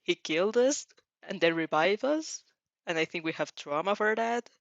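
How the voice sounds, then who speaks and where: A woman's voice: fairly low pitch, lively intonation, moderate pace, dry recording, muffled recording. A woman hosting a casual fanfiction read-aloud, hesitant and giggly, often correcting herself as she goes. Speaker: host of fanfiction reading show.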